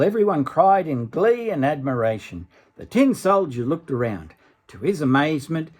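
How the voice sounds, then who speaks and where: Husky older man reading a storybook. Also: on the high side, darkish tone. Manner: dramatic, with asides to the young listener. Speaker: storybook reader. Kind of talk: expressive children's story reading.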